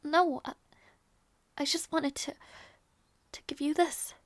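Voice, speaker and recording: A woman playing a gentle, earnest young princess in a romantic fantasy audio roleplay, speaking softly and hesitantly with tender affection. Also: sits high, fairly even intonation, no echo. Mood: nervous, earnest. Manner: soft, hesitant, tender, halting delivery.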